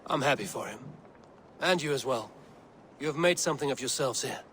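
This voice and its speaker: A man playing a steady, blunt Norse warrior in a video game, speaking in terse, deliberate phrases. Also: mid-range voice, slight background noise, natural voice. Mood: firm, measured. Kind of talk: dramatic video game dialogue.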